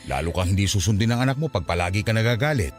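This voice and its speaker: A man's voice: fairly low pitch, lively intonation, rapid-fire, over loud music, lightly acted. A man playing a patient, peacemaking father and husband with a Filipino accent, placating and pleading in a radio drama. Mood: pleading, reasoning.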